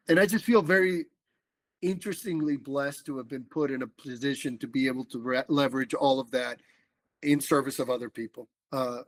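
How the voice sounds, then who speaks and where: A middle-aged man from the Dominican Republic, talking casually as a podcast guest in an animated, rambling way with lots of fillers and restarts. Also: medium pitch, no echo. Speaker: political organizer guest.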